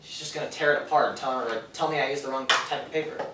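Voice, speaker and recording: A man's voice: medium pitch, fairly even intonation, fast, recorded from a distance, lightly acted. A man playing a timid, self-deprecating aspiring scriptwriter in a stage play, hesitant and stammering. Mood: self-deprecating, doubtful. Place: theater stage, distant mic. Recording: echoey stage recorded from afar, noisy.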